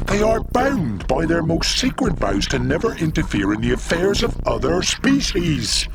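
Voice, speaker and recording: A man's voice: on the high side, very animated, measured pace, gravelly, heavy background noise, full character voice. An actor playing a vain, short-tempered and gullible alien invasion captain in an audio drama, imperious and bullying as he swings between bluster and awe. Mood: impatient, scornful.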